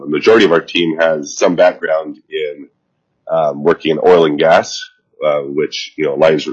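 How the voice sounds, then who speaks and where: A man's voice: rumbling low, breathy edge, highs cut off. Stuffy-voiced man presenting over a video call. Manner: halting, many ums and restarts. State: stuffy head cold. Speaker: nonprofit CEO presenting to a committee. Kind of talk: formal remote presentation.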